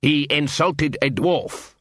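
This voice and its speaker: A man voicing an indignant, grudging dwarf in a fantasy audiobook, insistent and shouting accusations with drawn-out words. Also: a little high, dry recording, clean studio sound.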